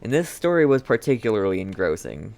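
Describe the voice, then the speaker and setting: A man giving casual, halting reaction commentary as he streams a game. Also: fairly low pitch, sing-song, vocal fry, a little mellow.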